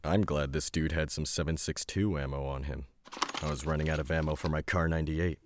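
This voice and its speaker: A man playing a blunt, tough fighter who knows his guns, with a gruff, clipped delivery. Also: rumbling low, fairly even intonation, a little fast, background noise.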